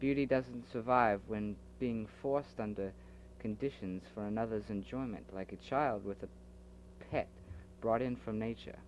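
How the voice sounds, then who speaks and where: A man's voice: fairly low pitch, measured pace, poor audio. A man in a home-made audio drama, debating philosophy earnestly and stumbling over repeated restarts. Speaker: amateur audio drama performer voicing several characters. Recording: faint tape hiss from an old cassette.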